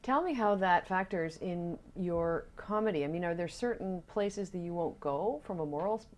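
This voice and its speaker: A woman's voice: on the low side, normal speed. A middle-aged woman hosting an interview show in a small studio, asking friendly prompting questions. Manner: friendly and prompting, short affirmations. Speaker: talk show host. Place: small indoor studio set.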